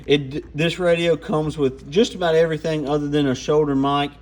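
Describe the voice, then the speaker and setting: A man's voice: fairly high pitch, fairly even intonation, moderate pace. A man giving an informal, off-the-cuff hands-on product walkthrough. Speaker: radio gear reviewer.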